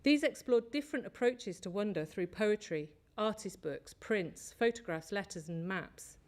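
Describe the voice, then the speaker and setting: A woman presenting at an academic conference, reading from prepared notes in a measured way with small slips. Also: medium pitch, lively intonation, darkish tone. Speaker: conference speaker, lecturer. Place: lecture hall.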